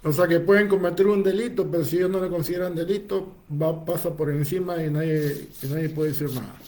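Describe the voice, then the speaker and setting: A man's voice: on the high side, steady tone, a little fast, warm tone. A man hosting a political talk show, prompting his guest with follow-up questions.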